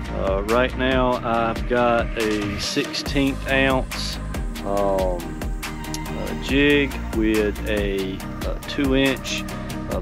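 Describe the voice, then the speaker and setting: A middle-aged man hosting a fishing video from a boat on a lake, talking casually with many uhs and ums. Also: medium pitch. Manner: relaxed, filler-heavy explaining with many uhs. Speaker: fishing channel host. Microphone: action camera on the boat.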